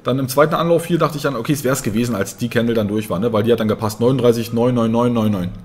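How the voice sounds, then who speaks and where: A man in his thirties co-hosting a casual market chat, thinking aloud with lots of fillers. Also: mid-range voice. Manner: quick, chatty, thinking aloud with many fillers. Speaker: co-host of a crypto trading show. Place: indoor room, seated at a table.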